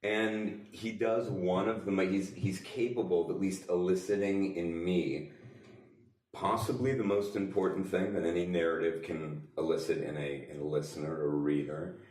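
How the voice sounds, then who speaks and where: Male podcast co-host, deep register, emphatic explainer, recorded off-mic in an echoey space. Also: fairly even intonation, moderate pace, a little mellow. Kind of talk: casual discussion. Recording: echoey room, distant mic.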